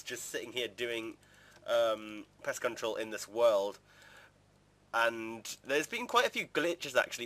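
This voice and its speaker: A man's voice: medium pitch, a bit bright. A man giving casual, chatty gameplay commentary in an informal, rambling way, as a video creator would.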